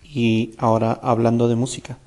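A man's voice: mid-range voice, level tone, fairly quick, darkish tone. A man hosting an interview, asking questions and thanking his guest in formal, slightly non-native phrasing. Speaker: podcast host.

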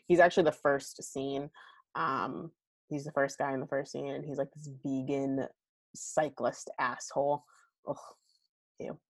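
Low, gravelly, chatty woman podcaster. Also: no echo. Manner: chatty and candid, swears freely, many fillers. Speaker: podcast host. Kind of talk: casual solo podcast chat about a movie. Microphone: home recording at a computer.

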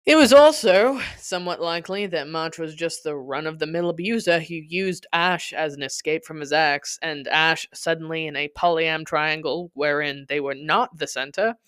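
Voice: mid-range voice, moderate pace, few pauses, a bit bright, smooth, dry recording, studio quality. A podcast host reading a scripted personal narrative aloud with dramatic, emphatic delivery.

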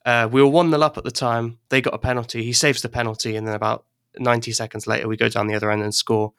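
A man's voice: medium pitch, little pitch movement, quick pace, fairly bright tone, clean tone, dry recording, studio quality. An English-born man chatting casually as a podcast guest, rambling a little, restarting sentences and laughing often. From England. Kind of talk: casual chat.

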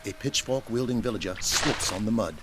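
A man's voice: on the low side, a little fast. A man reading scripted narration for an audio drama, with noise behind him. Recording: clear background noise.

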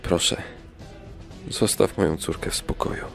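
A man playing a brave, kindly king and devoted father in a children's audio drama, earnest and measured. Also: faint music behind, lightly acted. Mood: pleading. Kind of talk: children's radio play acting.

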